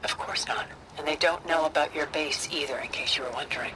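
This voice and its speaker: Casting: woman playing a guarded, cool-headed female ally in a video game, dryly measured, noisy ambience behind. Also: sits low, natural voice.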